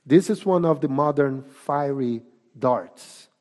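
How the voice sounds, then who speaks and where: A man with a Portuguese accent preaching a sermon, speaking deliberately and emphatically with pauses. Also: mid-range voice, a little mellow. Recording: long reverb of a hall.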